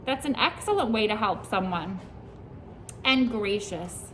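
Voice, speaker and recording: A woman teacher reads a picture book aloud to young children, pausing to ask them questions and praise their answers. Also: medium pitch, lively intonation, a bit bright, slight background noise. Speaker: preschool teacher.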